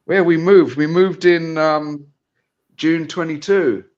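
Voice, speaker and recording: A man chatting as a guest on a football watch-along over a phone call, halting and restarting often. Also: on the high side, fairly even intonation. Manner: halting, with many fillers and restarts. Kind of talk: casual chat over video call.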